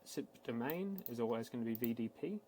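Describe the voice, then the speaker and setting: A man giving a casual, matter-of-fact, step-by-step software walkthrough, speaking unscripted like a tech support instructor. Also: medium pitch, expressive, fairly quick, a little hiss or hum, rough recording. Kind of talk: screen-recorded software tutorial, unscripted walkthrough.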